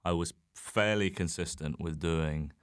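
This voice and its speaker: A man's voice: deep voice, measured pace, clean tone, no room sound, clean studio sound. A man chatting casually as a comedian guest on a podcast, rambling, self-deprecating and sweary. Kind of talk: casual chat.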